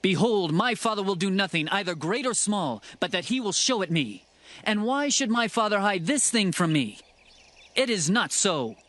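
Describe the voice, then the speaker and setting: A man's voice: high voice, very animated, normal speed, a bit bright, dry recording. A man playing a loyal, earnest young prince of ancient Israel in a dramatized scripture reading, with formal archaic phrasing. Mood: reassuring.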